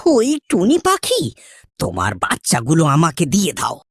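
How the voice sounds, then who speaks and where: A woman voicing a sly, wicked, scheming fox with a raspy voice in a children's cartoon. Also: deep voice, wildly swooping pitch, unhurried, no room sound, clean studio sound, heavily acted. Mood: menacing. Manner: menacing, scheming, swooping delivery.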